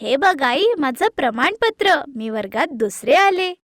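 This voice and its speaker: A high-pitched, spoiled little sister played by a woman from a cartoon moral tale. She is loud and demanding, pushing out threats like a bully, recorded close and dry. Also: expressive, unhurried, no room sound. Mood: showing off. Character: spoiled, bullying, tantrum-throwing younger sister, a girl. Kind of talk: animated moral story acting.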